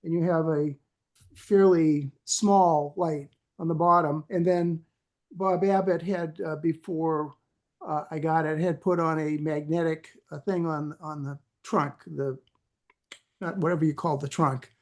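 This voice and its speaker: A man, a club member, chatting casually on a conference call, halting and searching for words. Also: a little high, fairly even intonation, darkish tone. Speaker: club member, classic car owner. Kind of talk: casual chat.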